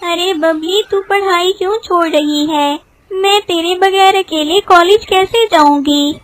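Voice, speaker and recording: A woman's voice: very high voice, unhurried, no room sound, pitched above the performer's own voice. A woman voicing a devoted, studious teenage girl who pleads and coaxes in a cartoon story. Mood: pleading.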